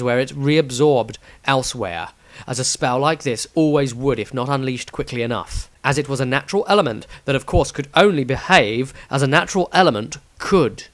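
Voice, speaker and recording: A man's voice: mid-range voice, a bit bright, no echo. A man reading his own fantasy story as a scripted, dramatic audiobook narration.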